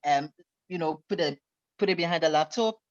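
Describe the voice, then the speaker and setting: A man's voice: a little high, brisk. A man co-hosting an online chat over a video call, loose and joking, often asking "you get it?". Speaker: co-host. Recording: dull dry home mic.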